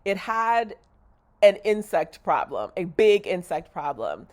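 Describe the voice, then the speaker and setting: A woman's voice: medium pitch, sing-song, takes it slowly, darkish tone. A woman with a slightly nasal, congested voice, rambling candidly in a casual video diary and correcting herself as she goes. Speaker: vlogger.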